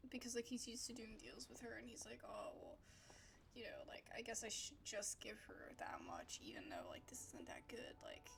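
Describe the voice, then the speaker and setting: Animated, creaky-voiced woman commenting on a game. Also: a little high, moderate pace. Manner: chatty, informal. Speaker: gaming video host. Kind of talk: casual game playthrough commentary. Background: game music.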